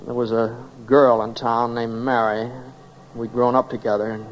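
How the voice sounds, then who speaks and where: An actor playing an earnest young small-town man in a 1940s radio drama, folksy and halting, passionate and easily flustered. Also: mid-range voice, little pitch movement, muffled recording, lightly acted. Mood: wistful, reminiscing. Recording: old 1940s radio recording, faint noise.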